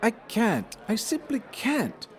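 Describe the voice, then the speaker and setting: A fussy, pompous colonial magistrate in an audio drama. His pitch swings extremely widely as he delivers officialdom with theatrical exasperation. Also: wildly swooping pitch, slow, some chatter behind, exaggerated voice. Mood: exasperated, officious.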